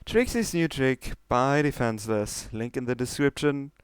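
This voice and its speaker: A man's voice: medium pitch, lively intonation, normal speed, smooth, no echo. A man reading fan fiction as an amateur narrator, moving between casual talk and storytelling.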